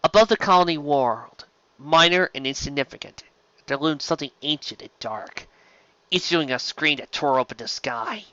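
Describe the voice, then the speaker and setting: A man's voice: fairly high pitch, lively intonation, normal speed, sharp tone, muffled recording. A man reading science fiction aloud as an amateur audiobook narrator, in a dramatic storytelling style.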